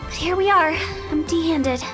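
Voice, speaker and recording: A woman playing a brisk, cheeky young funeral parlor director in a video game, with a theatrical, commanding manner. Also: very high voice. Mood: matter-of-fact. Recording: music playing behind the voice.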